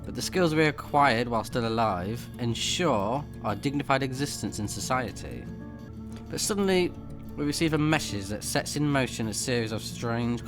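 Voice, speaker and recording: Man, medium pitch, let's play host, casual reactions, reading aloud, music playing behind. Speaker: let's player, game streamer. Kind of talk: gaming commentary mixed with reading game text aloud.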